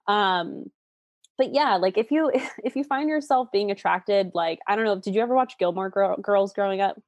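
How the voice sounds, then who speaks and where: A woman's voice: medium pitch, moderate pace, darkish tone, no room sound, lo-fi sound. A woman in her late twenties explaining ideas conversationally as an expert guest, informal, candid and enthusiastic. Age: late 20s.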